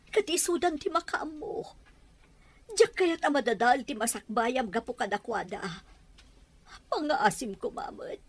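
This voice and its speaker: A woman's voice: fairly high pitch, breathy edge, exaggerated voice. A woman with an Ilocano accent playing an anguished, middle-aged battered wife and fearful, pleading mother in an audio drama. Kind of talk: Ilocano radio-style drama acting.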